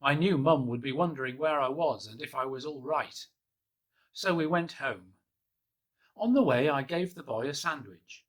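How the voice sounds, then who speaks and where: A man gently reading a children's picture book aloud in the first person, in a soft, hushed and unhurried way. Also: on the high side. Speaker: storybook reader.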